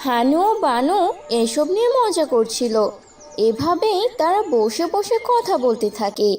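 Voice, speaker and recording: A woman's voice: high-pitched, very animated, a little slow, dark tone, recorded from a distance, faint music behind. A woman reading scripted narration for a cartoon story.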